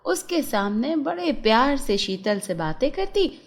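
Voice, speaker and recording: A woman narrating a children's cartoon story in an expressive storytelling manner. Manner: slow, lively-pitched storytelling.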